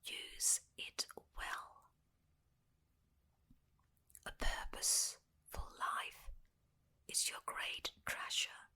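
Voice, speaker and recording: A woman whispering a scripted spiritual ASMR teaching in breathy, deliberate short phrases with pauses. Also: bright, forceful tone.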